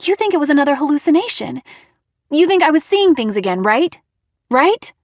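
A woman acts out an upset teenage girl in an audiobook drama. Her voice sits very high and sounds anxious and defensive, pleading to be believed. The sound is close and dry. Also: sing-song, rapid-fire, dry recording. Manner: stammering, shrill when upset.

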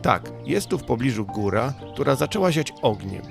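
A man puts on a storybook mother troll, gentle and unflappable. The pitch is lifted, the manner calm with mild exasperation. Music is heard behind. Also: normal speed, creaky. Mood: patient exasperation.

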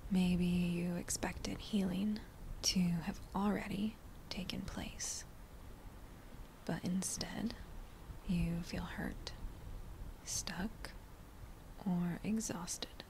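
Hushed, very slow woman guiding meditation. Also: lowish voice, fairly even intonation, slight background noise, poor audio. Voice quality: breathy, hushed, near-whispered. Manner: gentle, unhurried, with short phrases and pauses. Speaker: meditation guide. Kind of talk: slow guided meditation narration.

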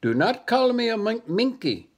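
A man's voice: extremely high pitch, very animated, normal speed, pitched above the performer's own voice, exaggerated voice. A man voicing a sly, bossy male monkey who speaks broken English and refers to himself by name.

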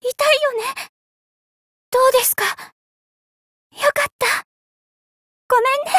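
A woman's voice: extremely high pitch, fairly even intonation, unhurried, sharp tone, no echo, exaggerated voice. A woman voicing a timid, apologetic young girl warrior in a fighting game, meek and pleading. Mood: meek, sad. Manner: meek, pleading, breaking into cries and battle grunts. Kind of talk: anime fighting game voice acting.

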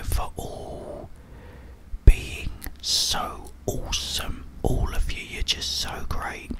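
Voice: sharp tone, rough recording. An ASMR YouTuber, whispering a rambling, friendly chat with many fillers.

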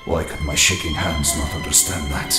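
An actor playing a proud, brooding older tyrant recounting his youth in a grave, measured, haughty dramatic narration for a comic. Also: extremely high pitch, huge pitch swings, roomy sound, over loud music, exaggerated voice. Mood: brooding, haunted.

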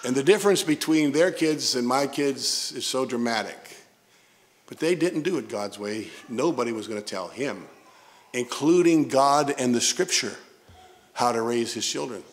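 A man's voice: mid-range voice, lively intonation, moderate pace. An older man with a Midwestern American accent, a pastor preaching a sermon in a church sanctuary on a pulpit microphone, telling stories with emphatic asides.

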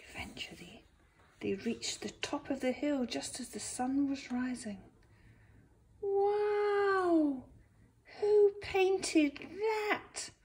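A woman reading a picture book aloud to young children as its author, expressive, with gentle asides. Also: mid-range voice.

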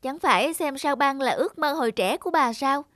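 A woman's voice: high-pitched, fairly quick, fairly bright tone, no echo, clean studio sound, soft background music. An actress playing a kind, caring young girl in a cartoon, speaking politely and earnestly. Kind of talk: animated moral tale voice acting.

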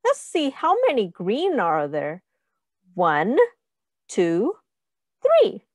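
A woman teacher reading a picture book aloud to young children, deliberately and instructively, asking questions and counting along. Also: mid-range voice, huge pitch swings, dry recording, studio quality.

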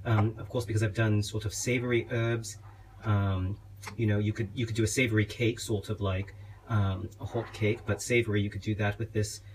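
A young man playing a genteel, affectionate elf king giving a gentle, hesitant, casual cooking demonstration. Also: on the low side, little pitch movement, moderate pace, natural voice. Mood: gentle, instructive. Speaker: cooking show host. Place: small home kitchen, indoors. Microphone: camera a step or two away. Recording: clearly noisy kitchen recording.

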